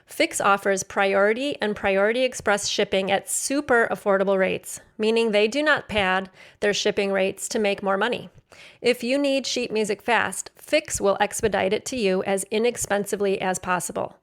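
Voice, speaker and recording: A woman's voice: medium pitch, moderate pace. A woman hosting a podcast, reading sponsor copy and interviewing a guest in a friendly, upbeat way. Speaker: podcast host.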